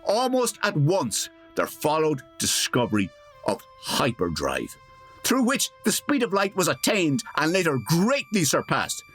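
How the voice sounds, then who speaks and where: A pompous, wry radio show announcer with a high voice that swoops dramatically. He narrates theatrically in an old-time radio comedy, slipping in tongue-in-cheek asides over music. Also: wildly swooping pitch, measured pace, a bit bright, heavily acted. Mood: mock-solemn, wry.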